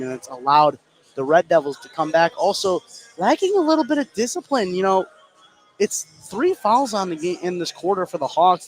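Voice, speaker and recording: A man working as color commentator on a live high school basketball broadcast, giving conversational analysis between plays. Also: low-quality recording.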